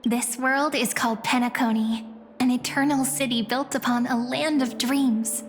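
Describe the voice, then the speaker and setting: A woman playing a gentle, earnest young guide in a video game, explaining things in a measured way. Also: high voice, steady tone, a little hiss or hum, close to an everyday voice. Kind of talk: video game story dialogue. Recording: background music under the voice.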